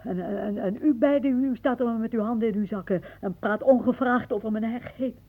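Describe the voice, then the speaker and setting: A woman's voice: a little high, fairly quick. A prim, querulous older lady. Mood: indignant. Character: indignant, anxious older lady defending her hedge. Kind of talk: radio play acting. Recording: muffled, narrow old radio recording.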